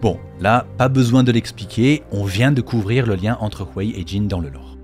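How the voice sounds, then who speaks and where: A man's voice: lowish voice, moderate pace, a little mellow. A man narrating a scripted explainer as the host of a video on game lore. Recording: faint music behind the voice. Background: faint background music.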